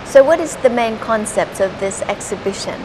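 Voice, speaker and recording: A woman's voice: medium pitch, lively intonation, moderate pace. A woman with a Thai accent hosting a talk show in English, prompting her guest with questions and "okay". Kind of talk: interviewing a guest. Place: exhibition hall. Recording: noisy hiss in background.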